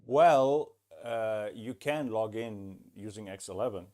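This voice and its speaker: A man hosting a casual tech podcast over a remote call, chatty and quick to chime in with short agreements. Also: lowish voice, a little mellow. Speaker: podcast host.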